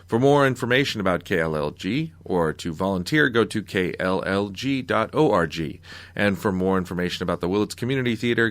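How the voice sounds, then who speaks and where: A man's voice: deep voice, a bit bright, dry recording. A man with an American accent reading a scripted announcement as a radio show's announcer.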